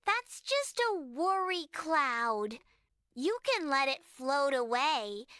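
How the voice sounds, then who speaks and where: A small preschool girl for a bedtime story, with a very high, bright voice. She speaks slowly and softly, in an earnest childlike way, with lively rises and falls. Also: full character voice. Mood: gentle, hopeful.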